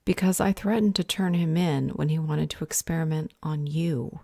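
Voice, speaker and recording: A woman playing a stern, protective vampire healer in an audio roleplay, speaking in a measured, firm and earnest way. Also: deep voice, gravelly, dark tone, no echo, clean studio sound. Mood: earnest, tender.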